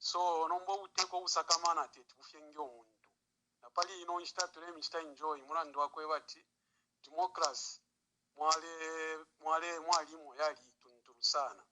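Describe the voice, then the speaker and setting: A man's voice: on the high side, fairly even intonation, fairly quick, bright, forceful tone. A man with a Zambian accent giving spontaneous political commentary as a caller on a noisy phone line.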